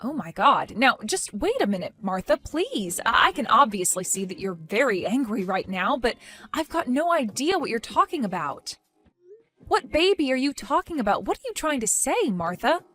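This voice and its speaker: A woman voicing a young pregnant wife who speaks quietly and hesitantly, pleading to understand. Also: fairly high pitch, very animated, moderate pace, soft background music. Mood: bewildered. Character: soft-spoken young pregnant wife, confused and patient.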